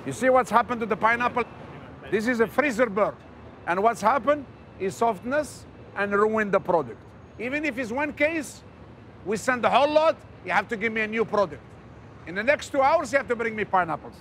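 A man's voice: high voice, lively intonation, a little fast, fairly bright tone, slight background noise. A man working as a provisions manager, explaining and giving instructions on the job in blunt, short phrases. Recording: location sound with music underneath. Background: music.

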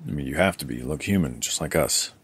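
A man's voice: extremely low pitch, animated, fast, a bit bright, husky, full character voice. An actor playing a wary, questioning man who keeps careful records, in an audio drama. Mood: guarded. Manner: questioning, guarded.

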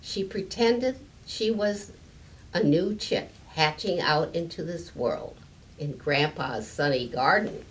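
A woman in her eighties reading a children's storybook aloud, haltingly, now and then repeating a word. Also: sits low, poor audio. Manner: halting, stumbling and repeating words. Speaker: story time reader. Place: library sitting area indoors.

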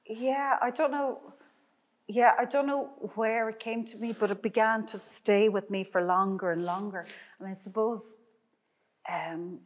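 A woman's voice: mid-range voice, steady tone, measured pace. A middle-aged woman, a painter, chatting in a gallery, rambling with many restarts and fillers. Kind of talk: casual conversation.

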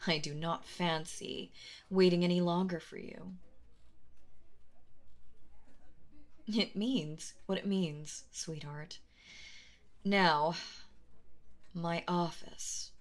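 A woman performs a cool, possessive female boss in an intimate ASMR roleplay. Her voice sits a little low and moves very slowly, hushed and deliberate. She is commanding and flirtatious, addressing the listener with total composure. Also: steady tone. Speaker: voice actress.

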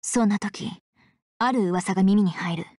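A woman playing a composed, commanding young female leader in an anime visual novel game, with measured, formal, authoritative speech. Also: mid-range voice, a little mellow, breathy edge, no room sound, clean studio sound. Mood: solemn, measured. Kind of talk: Japanese visual novel acting and narration. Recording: background music under the voice.

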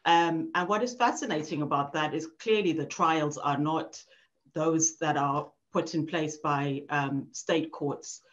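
A woman's voice: low voice, unhurried. Woman on a dull video call. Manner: thoughtful, with frequent ums and restarts. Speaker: author at a book launch. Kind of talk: academic talk. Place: home room.

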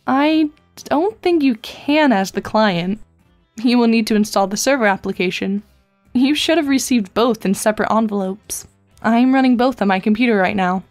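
Woman as a high-voiced, deadpan teenage girl. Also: faint music behind, lightly acted. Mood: matter-of-fact. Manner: deadpan, formal wording, measured. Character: dry, precocious, articulate teenage girl chatting online. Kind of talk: web comic voice dub.

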